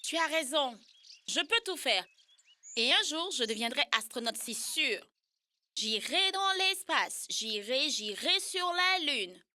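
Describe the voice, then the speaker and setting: A woman's voice: high voice, slow, no echo, clean studio sound, exaggerated voice. A woman voicing a spirited, earnest young girl in a children's cartoon. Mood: determined. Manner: earnest, expressive. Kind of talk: French-language children's cartoon dub.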